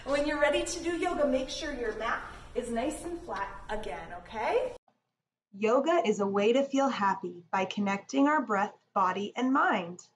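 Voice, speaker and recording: A woman leading a children's read-along yoga lesson, reading expressively and giving simple, encouraging instructions to young children. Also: fairly high pitch. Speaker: children's yoga teacher reading a picture book aloud. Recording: echoey room, distant mic, background noise.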